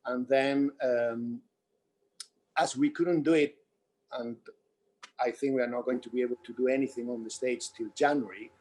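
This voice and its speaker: Spanish-accented guy, theatre director type, soft dark tone kinda raspy, stop-start explaining. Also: medium pitch, fairly even intonation, normal speed, pauses often, rough recording. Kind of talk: explaining in a post-show Q&A.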